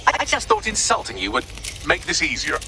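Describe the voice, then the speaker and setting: A man's voice: sits high, very animated, brisk, gravelly, noisy background, full character voice. A man voicing a witty, snide AI assistant with a British accent, articulate and sardonic, in a video game. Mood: regretful, polite. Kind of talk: scripted video game voice acting.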